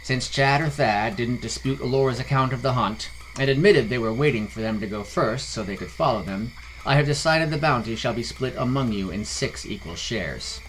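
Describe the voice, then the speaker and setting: A man voicing a fair, weary town leader who speaks deliberately and formally. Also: medium pitch, fairly bright tone, a little hiss or hum, natural voice, rough recording. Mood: measured.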